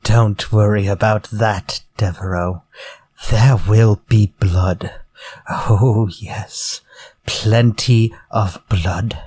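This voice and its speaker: A slow, menacing ex-convict villain. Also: male voice, fairly low pitch, fairly even intonation, darkish tone, slightly rough, exaggerated voice. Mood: quietly menacing. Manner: theatrical, taunting, deliberate villainous delivery. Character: menacing vengeful ex-convict murderer, grown man. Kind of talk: retro radio drama acting.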